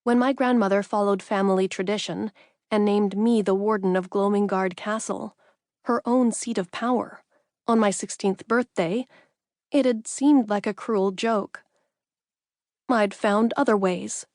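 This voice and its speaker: A woman's voice: mid-range voice, fairly even intonation, a little slow, a little mellow, dry recording, clean studio sound. A woman reading a first-person fantasy audiobook as a scripted narrator.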